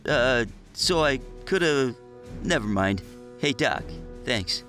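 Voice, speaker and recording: A man's voice: mid-range voice, lively intonation, slow, bright, forceful tone, faint music behind. A man voicing a plucky, nervous teenage boy in a motion comic, slangy, exclamatory and prone to stammering. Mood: wry. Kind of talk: animated character acting for a motion comic.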